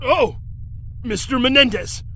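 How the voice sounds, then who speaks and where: Guy as a eager chummy tv crew dude, high bright voice, faint noise behind. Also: a little slow, heavily acted. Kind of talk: audio drama acting.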